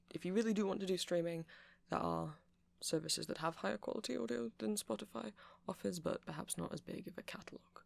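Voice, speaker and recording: Man playing a creaky-voiced nerdy, rambling vampire. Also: a little high, no room sound. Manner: rambling, hesitant, softly polite. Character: nerdy, gentle, apologetic male vampire music-shop clerk. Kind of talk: ASMR roleplay.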